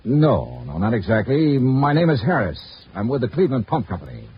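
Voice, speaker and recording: Clipped, probing radio detective. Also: male voice, mid-range voice, huge pitch swings, fairly quick, breathy edge, exaggerated voice. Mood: pushy. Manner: clipped, matter-of-fact, pressing with questions. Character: dry, probing male insurance investigator narrating his case. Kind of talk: 1950s radio detective drama acting. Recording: dull, noisy old radio recording.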